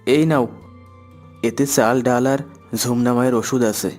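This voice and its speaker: Man as a humble mid-pitched village blacksmith. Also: steady tone, measured pace, darkish tone, soft background music, lightly acted. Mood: plain, tired. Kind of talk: children's cartoon voice acting.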